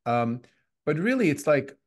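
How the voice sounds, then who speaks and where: A man in his fifties from Switzerland, co-hosting a podcast in a reflective, halting way with many restarts. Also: on the high side, sing-song. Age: 50s. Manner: reflective, restarts often, lots of fillers. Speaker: podcast co-host. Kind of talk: casual conversational podcast.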